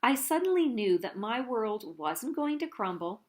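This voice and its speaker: A woman's voice: on the high side, very animated, unhurried, a little mellow. A woman reading a children's picture book aloud as a boastful, theatrical cheese telling his own tale in a dramatic, self-important way. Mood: calm, relieved.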